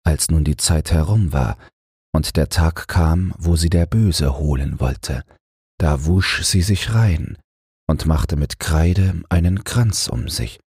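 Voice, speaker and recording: A man narrating a fairy tale as a bedtime audiobook, in a low, husky, breathy voice. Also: slow pace, a little mellow, dry recording, clean studio sound.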